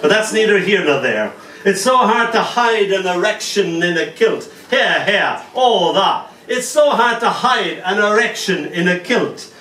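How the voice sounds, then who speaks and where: A man from Ireland reads his poetry in a small cafe, his voice sitting a little high. He jokes with the crowd between pieces and slows into tender recitation. The mic is set back, so the sound is roomy and distant with faint noise behind him. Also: animated, pushed and bright. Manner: jokey asides, then slow tender recitation. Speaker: poet reading at an open mic. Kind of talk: poetry reading with banter. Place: small cafe venue with live audience.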